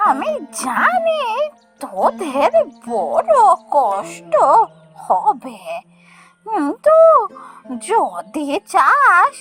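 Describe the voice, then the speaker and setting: A woman voicing a frail, kindly old beggar granny in a fairy-tale cartoon, humble and halting, with a plaintive manner. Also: very high-pitched, wildly swooping pitch, fairly bright tone, soft background music, full character voice. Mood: tearful, concerned. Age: older.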